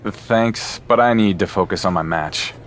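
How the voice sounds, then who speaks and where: A young underdog wrestler in a video game, dry and wry but determined. His voice sits low, with faint background ambience. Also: measured pace, a bit bright, a little hiss or hum.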